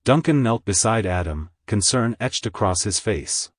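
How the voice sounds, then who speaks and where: Low-voiced man narrating a dramatic story. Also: expressive, normal speed, no room sound, studio quality. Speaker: narrator, fan fiction reader.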